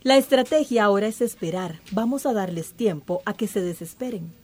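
An actress playing a cool, calculating villain who schemes patiently in a radio drama. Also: female voice, mid-range voice, fairly quick, darkish tone, close to an everyday voice.

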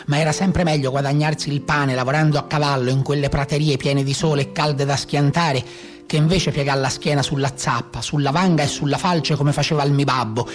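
A man's voice: medium pitch, close to an everyday voice. An actor with a Tuscan accent playing a brigand and former cowherd, bitterly telling his life in colloquial radio theatre style. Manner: colloquial folk storytelling. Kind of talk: live radio theatre monologue.

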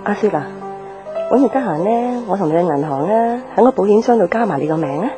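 An actress playing a caring, thrifty older mother with a homely, gently nagging way of talking, in Hong Kong Cantonese radio drama. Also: medium pitch, lively intonation, fast, music in the background, lightly acted. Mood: matter-of-fact, nagging.

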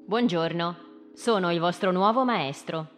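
A woman's voice: lowish voice, measured pace. A woman in a radio play, speaking conversationally in short, probing questions. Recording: very reverberant hall, faint music.

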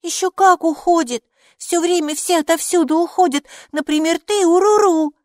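A woman voicing a lively, stubborn, inquisitive little girl in a children's audiobook, speaking emphatically. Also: extremely high pitch, moderate pace, no room sound, clean studio sound, voice pushed up. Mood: excited, insistent. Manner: emphatic, excitable, matter-of-fact.

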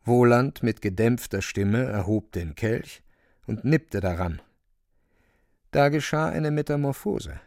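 A grave storyteller narrates a dark fantastic tale for radio. His mid-pitched voice has a gravelly creak and sits dry in the ear, watchful and literary. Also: expressive, slow, no echo. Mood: grave tension.

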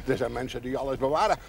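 A chuckling folksy garbage collector. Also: male voice, mid-range voice, gravelly. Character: kindly odd village garbage collector with healing hands. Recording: noisy 1970s TV audio.

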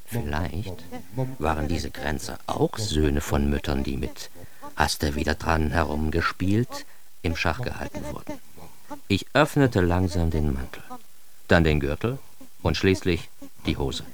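A man's voice: a bass voice, very animated, a little slow, heavily acted. An actor playing a cocky, self-mocking East Berlin man who tells his absurd story with mock-grand irony in a radio drama. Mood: sly, suspenseful. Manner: ironic, mock-grand, addressing the listener. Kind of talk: first-person radio drama monologue.

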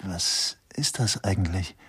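An actor playing a gruff, baffled policeman in a radio crime play, grumbling in a very raspy voice. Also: low voice, unhurried, fairly bright tone, exaggerated voice. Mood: puzzled. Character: gruff, baffled male policeman who hates modern art. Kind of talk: 1990s German radio play acting.

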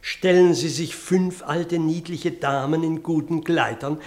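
A man's voice: on the high side, steady tone, natural voice. An actor playing an earnest, distressed male country vicar who tells his story theatrically in a radio play. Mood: anguished. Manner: theatrical, solemn, deliberate storytelling. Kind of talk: German radio play acting.

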